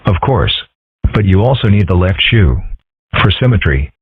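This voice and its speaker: A man's voice: deep voice, big pitch swings, normal speed, slightly rough, no echo, exaggerated voice. A man doing a smug, brand-crazy cartoon kid. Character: smug, brand-obsessed boy. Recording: dry, tinny phone-like recording.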